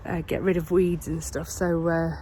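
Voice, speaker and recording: A woman's voice: fairly low pitch, little pitch movement, normal speed, warm tone. A woman in her thirties with a head cold, talking to camera outdoors on her allotment. She rambles in a friendly, unscripted way, full of ums and restarts. A faint hiss of outdoor noise sits behind her voice. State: has a cold. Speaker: gardening vlogger. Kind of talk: casual vlog chat.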